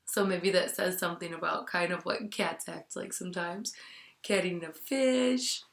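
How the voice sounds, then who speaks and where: A woman teacher reading a picture book aloud to children, expressively, with hushed asides. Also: lowish voice, moderate pace, gravelly. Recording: roomy, echoing sound.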